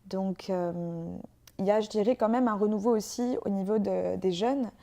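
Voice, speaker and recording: A woman from France answers interview questions in a soft, mellow voice, pausing often as she thinks aloud. Her pitch sits in the middle for a woman. She speaks as a guest author and poet in a relaxed, unscripted conversation. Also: creaky. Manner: hesitant, frequent filler pauses.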